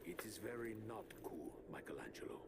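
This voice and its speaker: An actor voicing a wise, grave old mutant rat who is a father and martial arts master, speaking in a solemn, hushed way. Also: deep voice, sing-song, fairly quick, creaky, heavy background noise, exaggerated voice. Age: older. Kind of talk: video game cutscene acting.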